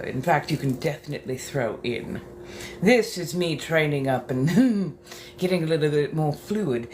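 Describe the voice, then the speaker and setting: A woman hosting a casual livestream from a bathroom as she gets ready. She talks in a flirtatious, theatrical way and calls her viewers darling. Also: low-pitched. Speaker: livestream host. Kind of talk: casual livestream chat while getting ready. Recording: clear background noise.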